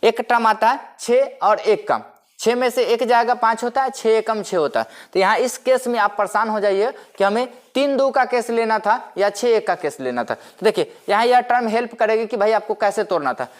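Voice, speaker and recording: A man's voice: high voice, lively intonation, moderate pace. A man giving a maths lecture as a teacher, explaining step by step, repeating himself and posing rhetorical questions. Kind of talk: step-by-step classroom-style lecture.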